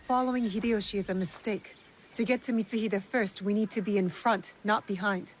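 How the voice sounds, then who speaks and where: A woman's voice: mid-range voice, fairly even intonation, fairly quick, slight background noise, lightly acted. An actress playing a decisive, impatient female fighter in a video game, with a terse, urgent way of talking. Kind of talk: video game cinematic acting.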